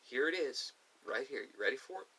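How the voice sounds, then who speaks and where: A man speaking casually and unscripted to viewers, giving his personal opinions in emphatic, halting, short phrases. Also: mid-range voice, animated, bright, forceful tone, husky. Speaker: video creator giving personal thoughts. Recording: distant mic with faint hiss.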